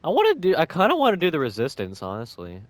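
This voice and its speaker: A man's voice: on the high side, huge pitch swings, fast talker, no echo. A man giving casual gameplay commentary, muttering indecisively as he thinks aloud. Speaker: gamer playing on stream. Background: game music.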